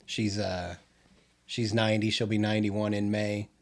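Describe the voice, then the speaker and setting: Gravelly low-voiced older man. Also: little pitch movement, moderate pace. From Kansas City area, Missouri. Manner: laughs often, restarts sentences. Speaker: podcast co-host. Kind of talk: casual chat.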